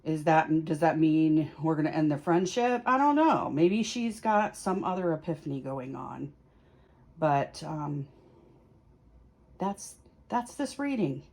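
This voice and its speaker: Female tarot host, somewhat low pitch, unhurried pace, conversational and casually explanatory. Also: animated, a little mellow. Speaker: tarot reader and video host. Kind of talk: casual explanatory chat to camera.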